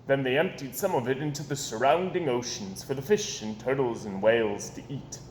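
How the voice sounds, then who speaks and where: A man reading a children's story aloud in an expressive, animated storytelling style, with dramatic pauses and emphasis. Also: mid-range voice. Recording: echoey room with background noise.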